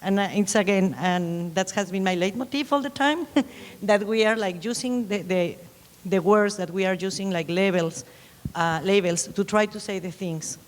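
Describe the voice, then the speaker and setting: A woman from Mexico speaks with a Spanish accent, her phrases halting as she searches for words. Her voice sits in a medium register and rings out in a long hall reverb. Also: medium pitch. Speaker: panelist author. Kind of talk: panel discussion. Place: lecture hall.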